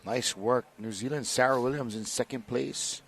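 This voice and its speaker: Male sports commentator from Hawaii, mid pitch, enthusiastic and friendly. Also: moderate pace, vocal fry, slightly rough, low-quality recording. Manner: warm, enthusiastic storytelling between race calls. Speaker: race commentator. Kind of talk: live race commentary.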